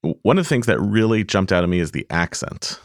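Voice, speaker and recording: A man's voice: deep voice, expressive, fast, no echo, studio quality. A man hosting an interview, asking long thoughtful questions with frequent laughs and small stumbles. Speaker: interview host. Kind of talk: conversational interview.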